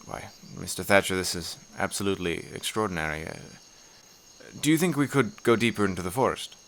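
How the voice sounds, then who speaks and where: A man playing an inquisitive, educated professor in an audio horror play, speaking politely, formally and a little haltingly. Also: sits low. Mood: curious, polite. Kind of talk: audio horror drama acting. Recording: insect-like ambient noise behind dialogue.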